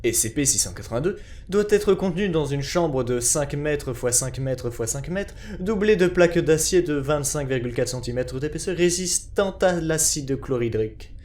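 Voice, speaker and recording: A man's voice: a little high, lively intonation, moderate pace, darkish tone, slight background noise. A man giving a scripted narration in a formal, clinical report style.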